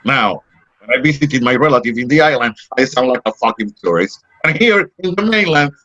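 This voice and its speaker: A man's voice: mid-range voice, expressive, brisk, pushed and bright. An actor with a Puerto Rican accent playing an indignant, sarcastic Puerto Rican man in a play reading. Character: Puerto Rican man raised in New England, indignant, fussy. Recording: dull lo-fi audio.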